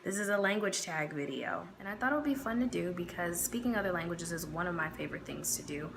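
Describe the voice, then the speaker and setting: Midwest american woman vlogging, lowish voice, relaxed and chatty, noisy recording. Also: fast, gravelly. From United States. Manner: relaxed, chatty, many fillers. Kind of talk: casual talk to camera.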